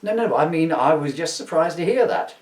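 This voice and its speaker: A man puts on an indignant, hard-bitten miser in a comic audiobook, theatrical and querulous with old-fashioned phrasing. The pitch leaps about a great deal, and the room sounds echoey, with the microphone at some distance. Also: expressive, a little fast, a little mellow, close to an everyday voice. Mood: placating.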